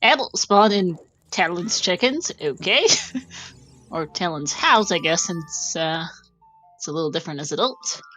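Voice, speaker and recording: A woman doing casual live commentary on a game tournament, chatty and quick to laugh as she reads out clues. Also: fairly low pitch, expressive, a bit bright, soft background music, rough recording.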